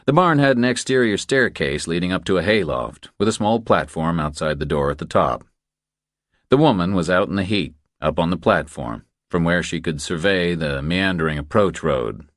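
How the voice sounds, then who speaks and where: A man's voice: low voice, expressive, moderate pace, dry recording, studio quality. A man reading a thriller audiobook as scripted narration.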